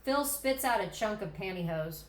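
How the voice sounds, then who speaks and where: A woman's voice: mid-range voice, expressive, normal speed. A woman reading stage directions as the narrator of a screenplay table read. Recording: echoey room, background noise.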